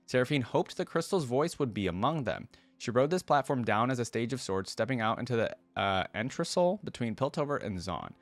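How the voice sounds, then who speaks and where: A man's voice: mid-range voice, very animated, fairly quick, few pauses, dry recording. A man hosting a reaction video, reading game lore aloud and adding casual commentary of his own.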